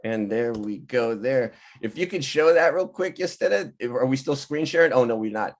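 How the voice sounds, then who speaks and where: Man chatting and telling stories, animated. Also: medium pitch, normal speed. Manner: animated, laughing often, acting out quoted people. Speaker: playwright and director leading a virtual table read. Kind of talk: casual, anecdotal storytelling chat. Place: online video call. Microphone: video call microphone.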